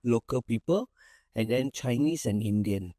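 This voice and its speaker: Easygoing Malaysian man, mellow voice. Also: medium pitch, animated, moderate pace, dry recording, clean studio sound. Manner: easygoing, rambling, many fillers and restarts. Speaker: managing partner of a small firm, guest. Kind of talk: casual interview answers.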